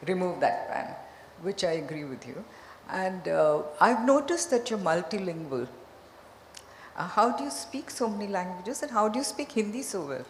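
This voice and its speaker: A woman journalist asking a polite, measured question at a press conference. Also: medium pitch, big pitch swings, a little hiss or hum. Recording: echoey hall with faint murmur.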